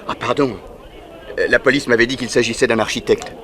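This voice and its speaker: Quick-talking man acting a deferential policeman. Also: mid-range voice, fairly even intonation, lightly acted. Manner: brisk, respectful, factual. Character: deferential, businesslike male policeman reporting to detective. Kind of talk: 1960s French radio drama acting. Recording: street crowd behind the voice.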